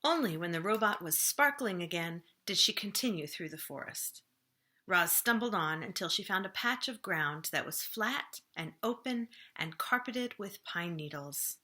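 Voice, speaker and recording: A woman reading a children's storybook aloud as a librarian would, expressively, with dramatic pauses and slower, hushed phrasing for suspense. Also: fairly low pitch, lively intonation.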